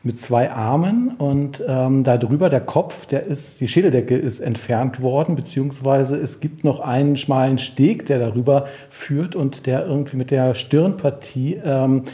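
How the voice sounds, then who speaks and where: A man's voice: medium pitch, a little slow, few pauses, dark tone. A man working as a reporter, describing what he sees spontaneously inside a museum.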